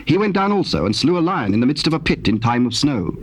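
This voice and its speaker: A man narrating scripture from the King James Bible in a formal, measured storytelling manner. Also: fairly high pitch, very animated. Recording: old cassette tape, faint background noise.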